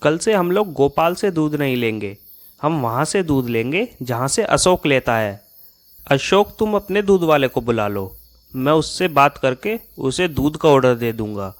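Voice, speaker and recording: A man's voice: medium pitch, animated, a little slow, close to an everyday voice. A man playing a suspicious, grumbling male customer in a Hindi cartoon tale, insistent and accusing in manner. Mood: scheming. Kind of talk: Hindi moral-story cartoon dialogue. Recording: music behind the voice.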